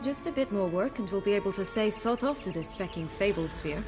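A woman playing a haughty, cynical noblewoman with old wounds in a fantasy video game, measured and sardonic with a theatrical touch. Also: mid-range voice, lively intonation, music in the background, lightly acted. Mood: determined.

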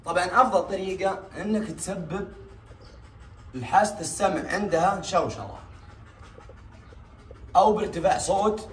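A man's voice: high-pitched, moderate pace, pauses often. A young man with a Saudi accent explaining things casually and emphatically, like an animal owner talking to a vlog camera. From Saudi Arabia. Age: young adult. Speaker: big cat owner and vlogger. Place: small bare tiled enclosure. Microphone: camera a few steps away. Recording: echoey bare room, distant microphone.

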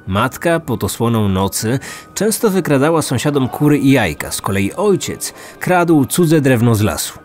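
A man's voice: medium pitch, darkish tone. A man in his thirties hosting a true crime podcast with scripted narration. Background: music.

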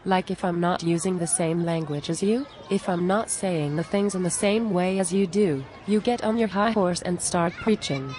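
An exasperated, down-to-earth cartoon friend, played by a woman, speaking plainly and conversationally. Her voice is a bit on the low side, with faint outdoor noise behind it. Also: normal speed, hardly any pauses, darkish tone, lightly acted. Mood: frustrated, earnest. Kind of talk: scripted animated dialogue.

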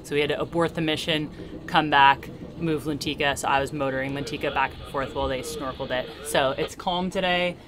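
A woman in her thirties talks to camera in a relaxed explanatory vlog style. She is outdoors in a boat cockpit, with music clearly audible behind her voice. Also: sits low, a little fast. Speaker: vlog host. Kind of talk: casual explanatory vlog chat. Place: outdoors in a sailboat cockpit under a canopy.

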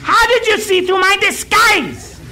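Woman acting villainous boy spy, indignant, hammy, very high sharp voice, spoof radio ad. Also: very animated, a little slow, full character voice.

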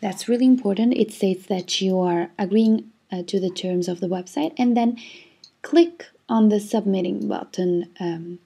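A woman's voice: mid-range voice, normal speed, creaky, dark tone, slightly rough. Chatty young woman, native Italian speaker. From Switzerland. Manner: chatty, explaining step by step with many fillers. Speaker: singer-songwriter. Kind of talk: casual vlog with screen walkthrough. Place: indoor room, webcam video.

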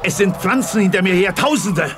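An actor playing a gruff, impatient military general in a children's sci-fi radio play, barking and grumbling excitably. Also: male voice, high-pitched, a little fast. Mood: panicked, barking.

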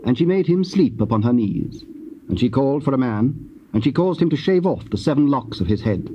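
A man narrating scripture as part of an audio drama, solemn, measured and formal. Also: medium pitch, animated, mellow. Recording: dull, faintly noisy cassette recording.

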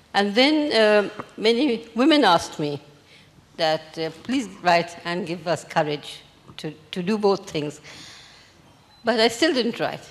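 A woman in her eighties from India, a memoir author speaking at a festival panel in a measured, reflective way, holding a handheld mic on a large stage. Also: mid-range voice, big-room sound. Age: 80s. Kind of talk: panel discussion.

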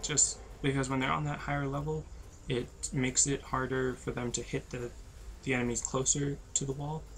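Tired young man with a gravelly voice. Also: little pitch movement, normal speed, few pauses, slight background noise. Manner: low-energy, rambling with many fillers. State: tired, losing his voice. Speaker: gameplay commentator. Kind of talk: casual let's-play commentary.

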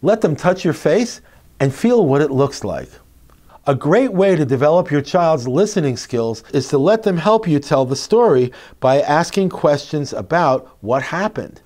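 A middle-aged man presenting instructional tips to parents in an expressive, emphatic, clearly phrased way. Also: on the high side, measured pace. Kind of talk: instructional narration to parents, with expressive read-aloud demonstrations. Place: indoor classroom. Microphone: lapel mic.

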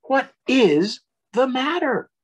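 Storybook parent fox, played by a man: gentle, reassuring, slow, soothing, lifted pitch with big swings. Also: very animated, slightly rough, exaggerated voice. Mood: concerned, soothing.

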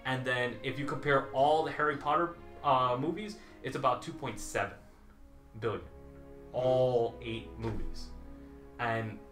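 A man's voice: mid-range voice, a bit bright. A man in his thirties arguing his side in a casual debate and laying out figures. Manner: lays out figures, argumentative. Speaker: debater for the Lord of the Rings side. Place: small indoor room full of movie memorabilia. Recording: echoey room.

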